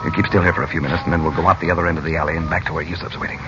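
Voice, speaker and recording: A man playing a protective, calm uncle in a 1940s radio adventure, brisk and commanding yet reassuring. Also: very deep voice. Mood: urgent, watchful. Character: protective, calm uncle leading his family through danger. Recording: dull vintage radio recording with music.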